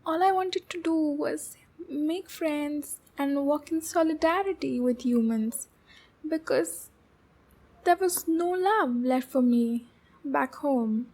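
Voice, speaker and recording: High, creaky, lovesick demon girl, played by a woman. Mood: sad, wounded. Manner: slow, breathy, halting, with soft giggles. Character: shy lovesick yandere demon girl, lonely and obsessive. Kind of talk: ASMR roleplay, intimate monologue to the listener.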